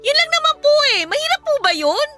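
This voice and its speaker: A woman's voice: very high voice, sing-song, fast, sharp tone, faint music behind, exaggerated voice. An actress playing a dutiful, hurt young Filipino daughter who pleads respectfully with her mother in a radio drama. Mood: bitter, wounded. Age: young adult. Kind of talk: emotional radio drama acting.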